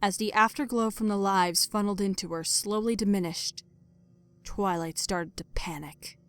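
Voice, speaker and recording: A woman's voice: mid-range voice, fairly even intonation, normal speed, fairly bright tone, clear, resonant tone. A woman reading scripted story narration in a dramatic audio fiction style. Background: music.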